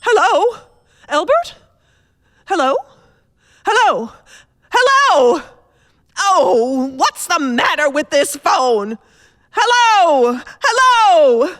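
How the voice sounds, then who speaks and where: High voice, frightened invalid wife, imperious, swooping intonation, radio suspense drama. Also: female voice, sing-song, a bit bright, no room sound, studio quality, full character voice. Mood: frantic. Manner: fretful, imperious, theatrical, halting then insistent. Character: anxious, demanding bedridden wife, alone and frightened. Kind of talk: suspense radio play acting.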